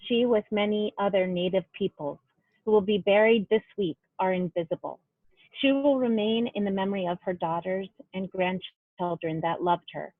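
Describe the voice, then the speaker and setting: A woman's voice: medium pitch, no room sound. A woman actor reading a written memorial tribute in a solemn style, heard through a tinny, band-limited connection.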